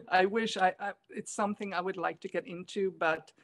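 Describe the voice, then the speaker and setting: A middle-aged woman from Germany, a guest on a casual video call, speaking softly and hesitantly with frequent pauses. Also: mid-range voice, steady tone. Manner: soft-spoken, hesitant, many ums, laughs often. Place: room at home, indoors. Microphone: laptop webcam video call.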